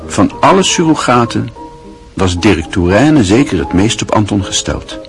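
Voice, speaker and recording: A man narrating a radio play in a measured storytelling manner. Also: on the low side, sing-song, music in the background.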